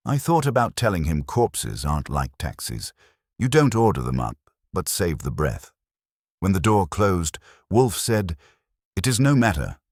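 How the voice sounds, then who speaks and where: Very deep-voiced man narrating a detective story. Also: big pitch swings, measured pace, a little mellow, dry recording, clean studio sound. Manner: wry, hardboiled phrasing. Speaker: narrator, speaking as a wry detective's assistant. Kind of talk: scripted first-person detective story narration.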